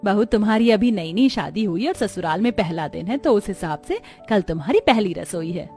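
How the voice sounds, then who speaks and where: A woman's voice: medium pitch, lively intonation, moderate pace, dark tone, close to an everyday voice. Actress as approving village mother-in-law, cartoon family drama, kindly advice, music behind. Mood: instructive. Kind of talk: scripted cartoon dialogue.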